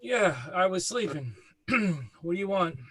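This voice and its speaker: A man's voice: sits high, lively intonation, unhurried, a bit bright, breathy edge, exaggerated voice. An actor playing a sleepy, reluctant man woken by a phone call, in a sitcom table read over a video call. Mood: groggy, reluctant.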